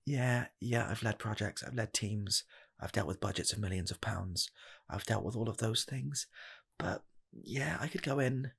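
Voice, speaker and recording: A young man playing an eager, nervous rocket scientist in a comedic ASMR job interview, speaking softly and haltingly, repeating himself. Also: on the low side, exaggerated voice. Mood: earnest, eager. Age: 20s. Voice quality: soft, husky, gravelly, slipping into whispers. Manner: halting, stammering, repeats phrases. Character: eager, nervous rocket scientist applying for fast-food work. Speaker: ASMR roleplayer.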